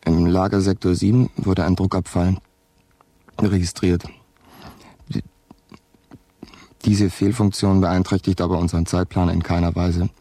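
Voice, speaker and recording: A groggy male astronaut dictates his log in a sci-fi radio play. The voice is low, dark and flat, close and dry, stumbling on words with a sluggish tongue. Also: dry recording. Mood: groggy, factual. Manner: halting, stumbling over words, flat reporting. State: sluggish tongue after long sleep. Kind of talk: German science fiction radio drama.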